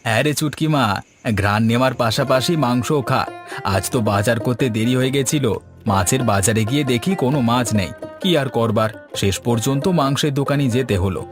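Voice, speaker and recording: A man's voice: mid-range voice, a little fast, close to an everyday voice. A man voicing a mild, placating village husband and father in a cartoon folk tale. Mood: genial, explaining. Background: clear background music.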